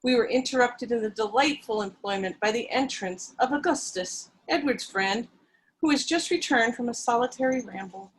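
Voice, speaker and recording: A woman's voice: fairly high pitch, moderate pace, slight background noise, lightly acted, low-quality recording. A woman reading an earnest, melodramatic heroine. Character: overly sensitive young heroine narrating her past. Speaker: reader in a dramatic reading. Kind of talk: dramatic reading over video call.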